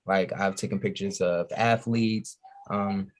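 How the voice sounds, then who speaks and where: A man's voice: fairly low pitch, fairly even intonation, measured pace. A man from Chicago with an American accent chatting casually and slangily as a podcast guest. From Chicago, USA. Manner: slangy, keeps giving shout-outs.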